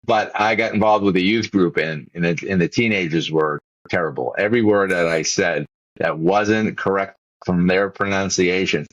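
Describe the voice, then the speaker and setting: A man's voice: low-pitched, normal speed, a bit bright, dry recording, lo-fi sound. A man with a Boston accent hosting a podcast interview, chatting casually and rambling with frequent restarts. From Massachusetts, USA. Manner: rambling, many restarts and repeated words. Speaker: podcast host.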